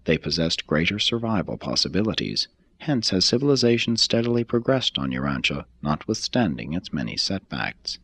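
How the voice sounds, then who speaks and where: A man reading a formal text aloud as scripted narration, in a measured, deliberate manner. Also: sits low, sing-song, creaky, fairly bright tone, slightly rough. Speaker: audiobook reader.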